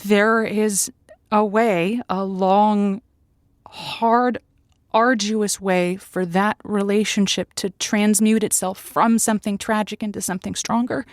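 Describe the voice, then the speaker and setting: A woman's voice: mid-range voice, steady tone, takes it slowly, no echo, clean studio sound, lightly acted. An actress playing an earnest, emotionally invested radio advice host in an audio drama, stammering and emphatic as she searches for words. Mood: solemn, hopeful. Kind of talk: naturalistic audio drama acting.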